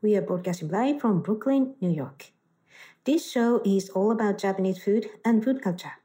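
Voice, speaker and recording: A woman's voice: medium pitch, moderate pace, warm tone. A middle-aged woman hosting a live radio talk show, chatty and laughing easily. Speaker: podcast host.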